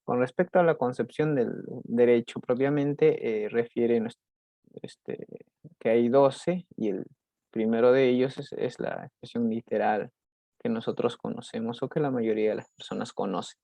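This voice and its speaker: A young Peruvian man with a Peruvian accent presents a class topic, halting often and restarting his phrases. His voice is dark and gravelly. The recording is dry and close, with no room sound. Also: medium pitch, steady tone, dry recording. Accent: Peruvian Spanish. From Peru. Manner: halting, many restarts and fillers. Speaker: student presenter.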